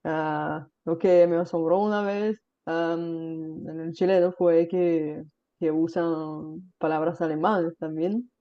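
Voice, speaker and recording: A woman's voice: low voice, steady tone, slow, lo-fi sound. A Bulgarian woman hosting a casual chat in Spanish with a slight Caribbean accent. She talks with many fillers and tag questions. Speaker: host. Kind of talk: casual conversation.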